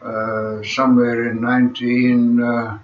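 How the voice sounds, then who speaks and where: A man of about a hundred with a Latvian accent, slowly recounting his life story in an interview, pausing often and filling gaps with "uh". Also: medium pitch, monotone. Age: 100s. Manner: halting, many pauses and "uh" fillers. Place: small room at home, seated in an armchair. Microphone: camera across the room. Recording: echoey room, distant camera mic, dull sound, faint hiss.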